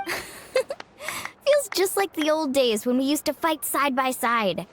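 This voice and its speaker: A woman's voice: sits high, wildly swooping pitch, takes it slowly, a little hiss or hum, full character voice. A woman voicing an upbeat, friendly young female warrior in a video game, eager in manner. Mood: excited.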